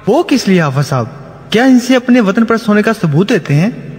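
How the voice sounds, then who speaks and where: A man's voice: high voice, very animated, brisk, a little mellow. A man with a Pakistani accent playing a mock-grand master of ceremonies in a scripted satirical comedy show. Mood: mock-formal. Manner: mock-grand, formal, storytelling. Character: mock-formal male master of ceremonies introducing politicians. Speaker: show host. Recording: hall reverb with music.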